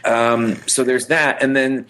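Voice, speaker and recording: A man's voice: medium pitch, steady tone, slow, sharp tone, rough recording. A middle-aged man co-hosting a podcast, chatting casually and enthusiastically in an anecdotal way about pop culture nostalgia. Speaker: podcast co-host.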